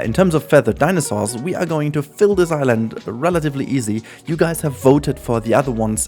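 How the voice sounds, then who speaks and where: German guy rambling casually over a park build game, medium pitch voice, faint music under him. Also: darkish tone. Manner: chatty, rambling, lots of ums and uhs. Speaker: gaming channel host. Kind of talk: casual gameplay commentary.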